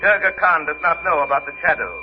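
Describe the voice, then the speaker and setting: An actor playing a mysterious, resolute crime fighter with a measured, intense manner in 1940s radio drama style. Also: male voice, a little high, lightly acted. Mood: urgent, instructing. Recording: dull old radio recording, music behind.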